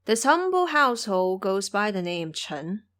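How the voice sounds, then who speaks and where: A woman's voice: mid-range voice, sing-song, unhurried, a bit bright. A woman voicing a kindly, very old village man with a deferential, wondering manner in an audio drama. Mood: obliging.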